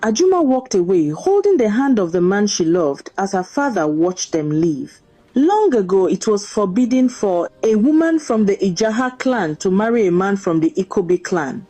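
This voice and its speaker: A woman storyteller narrates a scripted folktale. Her voice is mellow and sits at a medium pitch, and it rises and falls in a lively, dramatic way. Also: moderate pace.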